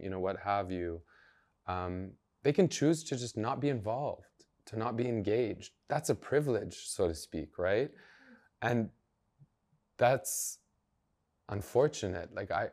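A man's voice: fairly low pitch, a little mellow. A man, a journalist and activist, speaking earnestly and emphatically as a podcast guest, thinking aloud as he goes. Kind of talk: podcast interview conversation.

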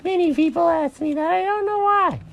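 Storybook mother bunny: very high, piercing, sugary and breezily dismissive. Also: normal speed, gravelly, dry recording. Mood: breezy, dismissive. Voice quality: squeaky, piercing. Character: chirpy, oblivious mother bunny rabbit.

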